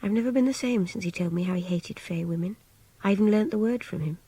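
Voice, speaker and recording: A woman's voice: medium pitch, quick pace, mellow, slightly rough, no echo, lightly acted. An actress playing a reflective, idealistic young captive woman with an English accent, confiding her thoughts in a radio drama monologue. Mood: wistful. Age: young adult. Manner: intimate, confiding, often dropping towards a whisper.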